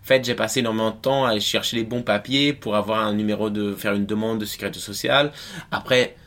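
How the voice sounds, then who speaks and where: A man from Italy chatting as an interview guest, halting and restarting, with a wry edge. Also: on the low side, steady tone, fairly bright tone. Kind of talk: casual chat.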